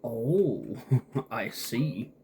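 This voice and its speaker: Very slow-talking man casually gaming. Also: mid-range voice, big pitch swings, vocal fry, dark tone. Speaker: streamer playing a story game. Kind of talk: casual gameplay reactions. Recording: faint background noise, keyboard clicks. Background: keyboard and mouse clicks.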